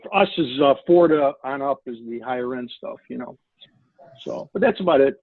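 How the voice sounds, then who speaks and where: An older man talks casually, like an agent catching up with peers. His medium-pitched voice comes through a thin, tinny phone line, very dry and close. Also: lively intonation, moderate pace, no echo. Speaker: real estate agent. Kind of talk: casual chat on a call.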